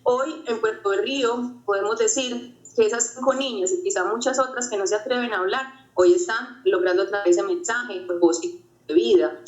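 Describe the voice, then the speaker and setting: A woman's voice: mid-range voice, normal speed. A woman giving an earnest, reflective motivational talk about her own life, often repeating her phrases. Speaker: motivational speaker. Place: home during quarantine. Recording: roomy, dull lo-fi sound.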